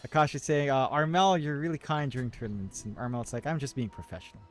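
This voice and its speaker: A man casting a live esports match, breaking down the play with brisk analysis and joking asides. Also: mid-range voice, faint music behind. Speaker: esports caster. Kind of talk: live game commentary.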